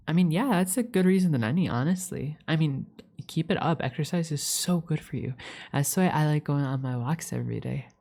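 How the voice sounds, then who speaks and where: A woman's voice: rumbling low, warm tone, exaggerated voice. A woman voicing a playful, needy young catboy roommate in an ASMR roleplay, chatting casually with stammers and repeated words. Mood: earnest, friendly.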